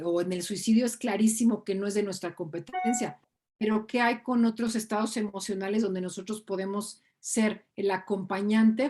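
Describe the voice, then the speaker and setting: A woman's voice: medium pitch, darkish tone. A woman in an online coaching class who talks things through in a thoughtful way and reads out comments from participants. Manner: thoughtful, conversational, questioning. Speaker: co-facilitator reading chat comments.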